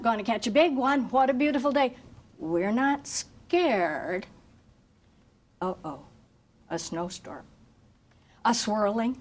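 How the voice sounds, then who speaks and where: A woman reading a picture book aloud to children in an expressive, chanting, sing-song way, engaging them with questions like a teacher. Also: mid-range voice. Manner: asks listeners questions like a teacher. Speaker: volunteer story reader for children.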